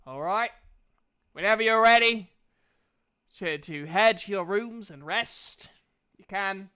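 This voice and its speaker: A man narrating a tabletop fantasy game as game master, with halting phrasing. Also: sits high, sharp tone, smooth.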